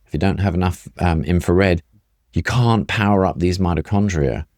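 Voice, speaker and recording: A man's voice: deep voice, normal speed, a little mellow, no room sound, studio quality. A middle-aged or older man explaining things conversationally as a doctor, emphatic and repeating points for stress. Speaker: functional medicine doctor, guest.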